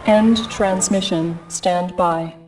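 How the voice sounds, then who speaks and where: An actress playing an impassive research announcer, reading a formal briefing transmission in a video game. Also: female voice, on the low side, fairly even intonation, slow pace, a little mellow. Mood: clinical, detached. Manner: clinical, formal. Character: impassive female military research report announcer. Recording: distant, slightly noisy transmission.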